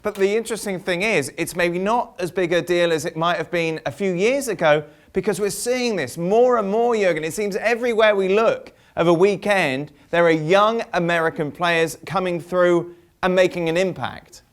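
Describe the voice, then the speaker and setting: A man presents a football show in a voice pitched a little high. He links the topics and hands questions over to his guests in a studio presenting style. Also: moderate pace, hardly any pauses. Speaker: host.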